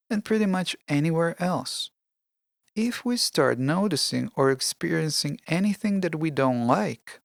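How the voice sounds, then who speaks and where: A podcast host giving scripted self-help narration in a measured, deliberate, instructional way. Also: medium pitch, gravelly, no echo, clean studio sound.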